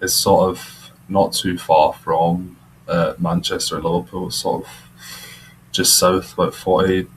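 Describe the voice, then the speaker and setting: A man's voice: low-pitched, level tone, unhurried, slight background noise, poor audio. A young man from Scotland, a podcast guest, chatting casually and rambling with fillers. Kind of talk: casual chat.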